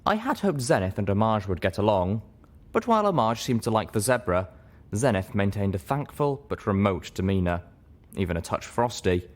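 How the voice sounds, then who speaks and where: A man narrating a first-person story in a dramatic audiobook style. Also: sits low.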